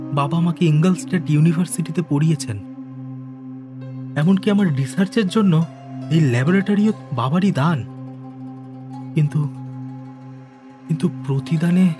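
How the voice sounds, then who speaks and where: High-pitched, anguished male scientist played by an actor. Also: lightly acted. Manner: slow, emotional, halting with repeated words. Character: grief-stricken adult male scientist, devoted son. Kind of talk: dramatized audio story acting. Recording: studio voice under loud music.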